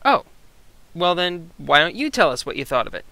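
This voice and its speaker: A man co-hosting a review in a conversational but scripted style, with an animated, emphatic manner. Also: on the high side, moderate pace, dry recording. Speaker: co-host reviewer.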